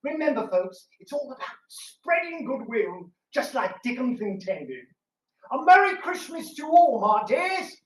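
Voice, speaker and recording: A man's voice: very high-pitched, very animated, measured pace, voice pushed up, full character voice. A man playing a merry, theatrical old-time radio storyteller in a grand, declamatory manner. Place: live talk in a public library room. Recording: roomy, distant mic with some noise.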